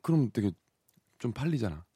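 A man's voice: medium pitch, big pitch swings, fairly quick, background noise. A man co-hosting a radio show in casual chat.

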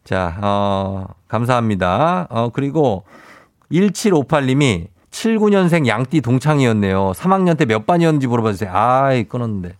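A man's voice: mid-range voice, sing-song, moderate pace, no room sound, clean studio sound. A man in his forties hosting a radio quiz in a playful, encouraging way, reading out listener messages. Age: 40s. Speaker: radio quiz show host. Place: radio studio.

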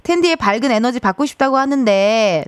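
A woman in her thirties hosting a casual radio show in a studio, chatting with listeners in a playful, teasing, animated manner. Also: fairly high pitch, a little fast, clear, resonant tone, no room sound. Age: 30s. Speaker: radio host. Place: broadcast studio.

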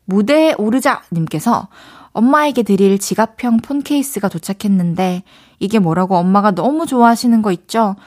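A woman's voice: mid-range voice, moderate pace, few pauses, darkish tone. A young woman with a Daegu accent, hosting a radio show and chatting casually as she reads out listener letters. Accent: Daegu Gyeongsang Korean. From Daegu, South Korea. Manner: chatty, playful, thinks aloud.

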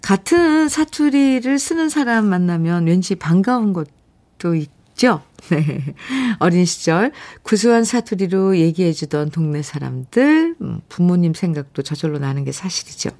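A woman's voice: fairly low pitch, very animated, measured pace, darkish tone. A woman hosting a radio show, chatting in a friendly way and reading listener messages aloud into a studio microphone. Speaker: radio host.

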